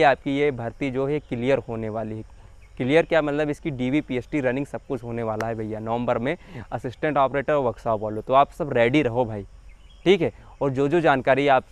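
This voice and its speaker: Young male vlogger, mid-pitched and clear, talks to viewers like friends. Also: no echo. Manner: chatty, repetitive, addresses viewers as friends and brother. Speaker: YouTube channel host. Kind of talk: casual explainer vlog.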